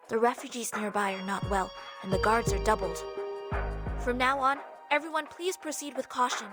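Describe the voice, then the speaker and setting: Commanding, higher-voiced woman leader, acted. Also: natural voice. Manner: authoritative, crisp, composed. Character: brisk, commanding female squad leader. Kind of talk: scripted video game voice acting. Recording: loud background music.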